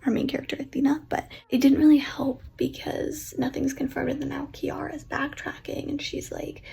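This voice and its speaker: A woman's voice: high-pitched, flat delivery, normal speed, creaky, warm tone, whispered. A teenage girl and author vlogging casually, rambling in a stressed, self-mocking way with a sleepy-sounding voice. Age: teens. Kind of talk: casual vlog chat.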